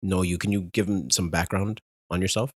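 A man hosting a podcast, joking and telling stories in a lively, laughing way. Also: low-pitched, brisk, dry recording. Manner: animated, joking, laughing storyteller. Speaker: podcast host. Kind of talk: casual podcast chat.

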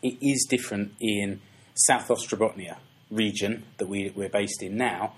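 Relaxed low-voiced male podcast host. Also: moderate pace. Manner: relaxed, hesitant with frequent restarts and fillers. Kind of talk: casual interview.

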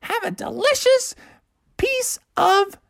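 A storyteller voicing a sweet neighbor lady handing out candy, in a high, sing-song, gushing manner. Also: slow pace, creaky, a bit bright, no room sound, studio quality, exaggerated voice.

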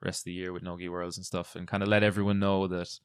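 A man's voice: low-pitched, little pitch movement, quick pace, smooth, dry recording, studio quality. A man speaking conversationally as an interviewer who chats casually with his guest. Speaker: interviewer and jiu-jitsu competitor.

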